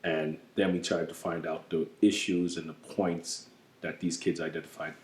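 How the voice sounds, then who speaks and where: Very deep, flat-voiced man from the US. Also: echoey room. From United States. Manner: measured and earnest, with frequent "you know" fillers. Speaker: program adviser. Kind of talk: documentary interview.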